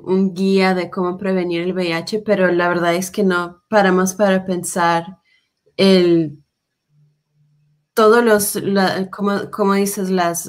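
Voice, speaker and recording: A young woman interviewing on a video call, speaking tentatively and pausing mid-sentence. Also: mid-range voice. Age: young adult. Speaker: interviewer, health policy associate. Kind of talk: conversational interview. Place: indoors, plain room with a window. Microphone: computer mic on a video call.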